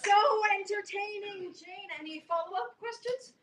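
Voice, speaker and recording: A woman's voice: very high voice, moderate pace. A woman playing a bossy, sardonic teenage club leader with a dry, commanding manner, in a staged reading of a comedy. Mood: falsely sweet. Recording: very noisy echoey room, distant mic.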